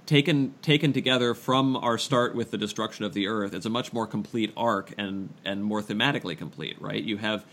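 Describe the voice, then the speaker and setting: A man casually discussing books as a podcast co-host, halting and repeating phrases as he thinks. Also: lowish voice, fairly bright tone, a little hiss or hum.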